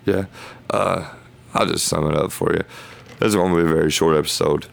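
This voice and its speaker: A man with an extremely deep, heavily gravelly voice talks through scripture in a casual podcast. His delivery is flat and halting as he thinks aloud in loose phrases. He sounds hoarse, as if his voice is going. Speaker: podcast host. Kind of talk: casual Bible study talk.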